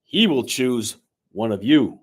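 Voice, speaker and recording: A man voicing a commanding, assured train conductor from a storybook, with a booming, proclaiming delivery. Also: expressive, slow, slightly rough. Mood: explaining. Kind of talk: storybook character voice.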